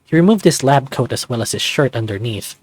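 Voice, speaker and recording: A man's voice: mid-range voice, lively intonation, fairly quick, no echo. A man reading a visual novel aloud as a let's-play narrator, slipping into casual reactions. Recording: music playing behind.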